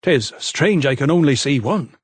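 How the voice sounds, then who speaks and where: A man's voice: a little high, lively intonation, moderate pace, breathy edge, dry recording, voice pushed up. A man voicing a haughty, scornful estate steward who sneers and barks. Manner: sneering, barking.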